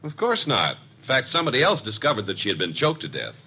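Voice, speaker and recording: An irritated man of about thirty, with a forceful, husky voice and animated pitch. He speaks in clipped, offhand phrases, in vintage radio drama style. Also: fast talker, full character voice. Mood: annoyed, curt. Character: annoyed, curt athletic man of about thirty. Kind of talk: 1940s-50s radio detective acting.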